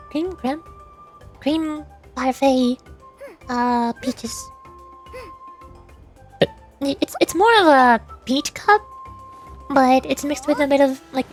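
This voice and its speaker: A woman with a high voice chats casually as she streams a game. She rambles playfully and teases with light irony. Faint music plays behind her. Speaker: livestreamer playing a game, reading dialogue aloud. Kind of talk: casual chat with stream viewers.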